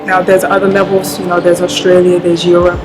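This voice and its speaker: A woman from London, a basketball coach and team director, speaking in a measured, earnest interview and often saying "you know". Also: fairly low pitch, monotone, low-quality recording. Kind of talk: interview talk to camera. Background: music.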